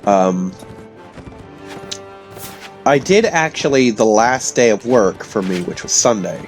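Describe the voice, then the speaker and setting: A man with a hoarse voice from illness, telling rambling stories casually to his audience. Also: on the low side, lively intonation, slow, faint music behind. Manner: meandering, self-interrupting, chatty. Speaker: vlogger.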